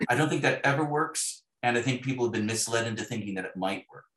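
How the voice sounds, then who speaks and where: A man's voice: medium pitch, quick pace, a bit bright, roomy sound, rough recording. A middle-aged man speaking as a novelist in an interview, reflective and discursive, with wry self-deprecation.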